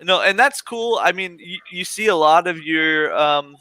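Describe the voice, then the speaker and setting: A man's voice: high voice, a little slow, pushed and bright. A man from Oklahoma with a Midwestern accent, hosting a casual interview and laughing often. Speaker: podcast host.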